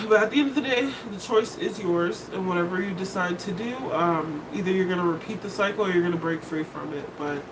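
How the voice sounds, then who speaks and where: A woman with a deep voice giving a casual tarot reading, blunt and candid, swearing and laughing between points. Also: distant mic, noisy background. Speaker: tarot reader.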